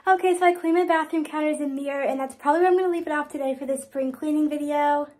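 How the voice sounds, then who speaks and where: Fast-talking high-voiced young woman. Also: loud music behind the voice. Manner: chatty, filler-heavy, upbeat. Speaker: vlogger. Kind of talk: casual cleaning vlog chat. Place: bedroom and bathroom at home.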